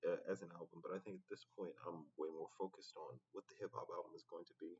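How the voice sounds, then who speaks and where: A man talking in a casual, reflective solo vlog, halting and often pausing mid-sentence. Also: sits low, steady tone, a little mellow, breathy edge. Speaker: rapper vlogging his progress. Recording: dull, muffled lo-fi sound.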